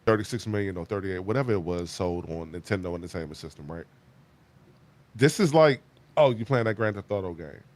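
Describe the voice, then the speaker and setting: A man in his thirties hosting a casual gaming chat, animated and emphatic, often restarting his sentences. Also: low-pitched, brisk. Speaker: podcast host.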